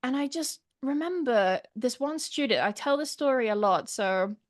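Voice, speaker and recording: A woman in her thirties from England, a pronunciation teacher chatting as an interview guest with animated storytelling and lots of fillers. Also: sits high, normal speed. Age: 30s. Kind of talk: casual interview chat.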